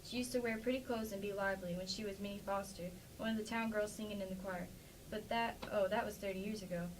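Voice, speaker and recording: A woman's voice: mid-range voice, steady tone, quick pace, close to an everyday voice. Woman reading as a plainspoken farm wife, slightly distant. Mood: wistful, sympathetic. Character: plainspoken, defensive Midwestern farmer's wife and neighbor. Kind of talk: amateur staged play reading. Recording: distant mic with faint background noise.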